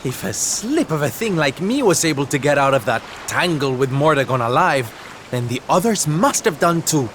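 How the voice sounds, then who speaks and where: A man's voice: on the high side, very animated, normal speed, a bit bright, slight background noise. An actor voicing a flamboyant theatrical male entertainer in a video game cutscene, dramatic and fond of pet names. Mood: resolved. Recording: game audio over ocean waves. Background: surf and waves.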